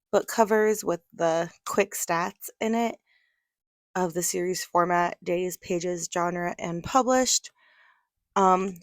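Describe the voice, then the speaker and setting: A woman's voice: on the low side, no echo, clean studio sound. A congested woman talking casually as a book vlogger, chatty and a little rambling. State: congested from a cold. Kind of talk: casual voice-over chat.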